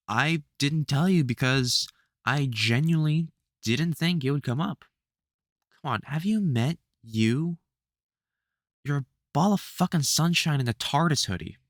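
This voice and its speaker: Man acting as veteran vampire hunter, British accent, mid-pitched, gruffly caring, halting, sweary, audio roleplay, dry recording. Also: no echo, lightly acted. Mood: uneasy, explaining. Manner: halting, sweary, exasperated but gentle. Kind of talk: audio roleplay, speaking to an unheard listener.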